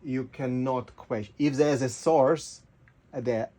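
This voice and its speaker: Mellow man, explaining with halting reasoning. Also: medium pitch, steady tone. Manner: halting, rhetorical, often ends points with "right?". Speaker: interview guest. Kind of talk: casual philosophical explanation.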